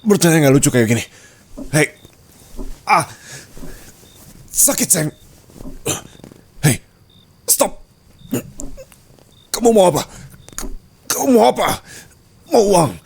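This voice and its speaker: A man's voice: a little high, big pitch swings, takes it slowly, creaky, pauses often, breathy edge, voice pushed up, heavily acted. A man playing a dominant, teasing rich husband in an intimate ASMR roleplay, taunting and possessive, mixing Indonesian with English. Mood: strained pleading.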